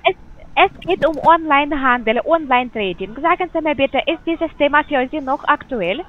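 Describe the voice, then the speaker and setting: High voiced lady selling over the phone, polite script, lots of uh, sounds tinny like a phone line. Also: expressive, moderate pace. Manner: polite, scripted questions, hesitant fillers. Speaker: telephone sales agent. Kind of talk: scripted sales call.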